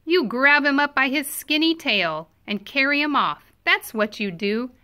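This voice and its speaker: A woman reading a children's picture book aloud expressively, with animated emphasis. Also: high voice, measured pace.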